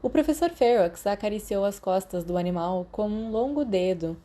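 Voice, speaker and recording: A woman's voice: medium pitch, lively intonation, normal speed, warm tone. A woman with a Brazilian accent reading scripted fiction as an audiobook narrator.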